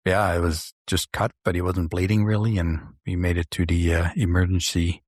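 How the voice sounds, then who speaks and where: A middle-aged man with a slight Dutch accent, hosting a casual podcast chat in a soft, mumbling, soothing voice. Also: low-pitched, little pitch movement, normal speed, no room sound, clean studio sound. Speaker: podcast host.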